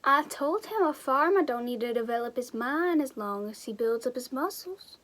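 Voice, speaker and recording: A woman's voice: high voice, animated, a bit bright. A woman with an American accent playing a plain-spoken, opinionated small-town farm parent in an amateur play. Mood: folksy, opinionated. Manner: unhurried, folksy. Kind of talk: amateur stage-play acting.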